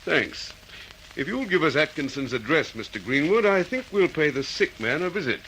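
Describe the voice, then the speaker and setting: An actor playing a methodical, courteous police detective in an Australian accent, in classic radio drama style. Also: male voice, on the high side, very animated, moderate pace, background noise. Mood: businesslike, probing.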